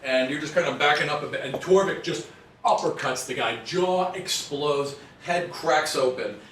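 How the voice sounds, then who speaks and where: A man's voice: a little high, normal speed, a bit bright, far from the mic. A man acting as game master, narrating a tabletop game casually and encouragingly among friends. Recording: roomy, off-mic, faint noise.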